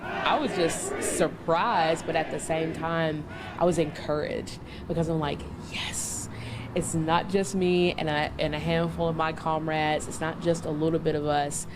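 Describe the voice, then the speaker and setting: A woman's voice: low voice, fairly even intonation, a little slow, a crowd in the background, background noise. A woman in her thirties from the southern United States, an activist answering interview questions conversationally. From Chattanooga, Tennessee, United States. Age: 30s. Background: music bed.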